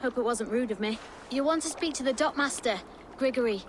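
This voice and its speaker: A woman's voice: on the high side, fairly quick, noisy background, lightly acted. A woman voicing a polite dockside local. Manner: polite, apologetic. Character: helpful female dockside local. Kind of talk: video game cutscene acting.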